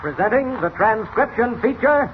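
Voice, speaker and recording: High, swooping vintage male announcer. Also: animated, moderate pace. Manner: proclaiming, emphatic. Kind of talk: 1940s radio announcing. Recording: muffled, faintly hissy old radio recording.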